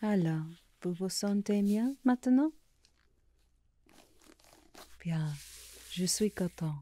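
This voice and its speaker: A woman playing a gentle, polite Tin Man who longs for a heart, murmuring hesitantly in a soft-spoken ASMR roleplay. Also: medium pitch, lively intonation, slow pace, a little hiss or hum. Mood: kindly, polite.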